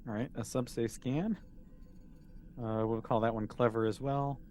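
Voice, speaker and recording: A man's voice: medium pitch, fairly even intonation, a little slow, darkish tone. Man, mid-range voice, game master, casual, informal, lightly teasing, faint noise behind.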